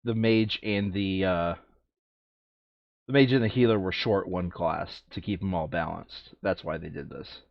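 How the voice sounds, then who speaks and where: A man's voice: sits low, steady tone, unhurried, creaky, dry recording, highs cut off. A man chatting casually as he hosts a board game, thinking aloud and often correcting himself as he goes. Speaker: board game player and video host. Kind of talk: casual chat while sorting game cards.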